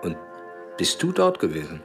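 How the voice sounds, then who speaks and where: Low-voiced, agitated sceptic. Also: male voice, wildly swooping pitch, normal speed. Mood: questioning. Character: sceptical, agitated male friend interrogating a soldier. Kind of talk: German radio drama dialogue. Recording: music behind the voice.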